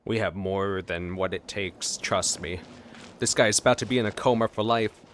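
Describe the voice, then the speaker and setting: A man's voice: lowish voice, moderate pace, a bit bright, slight background noise. A man hosting a casual video game reaction, with wry, playful asides.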